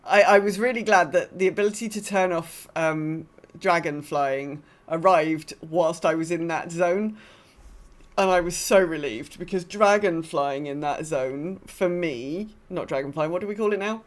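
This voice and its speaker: Low-pitched woman, casual podcast chat. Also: unhurried. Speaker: podcast co-host.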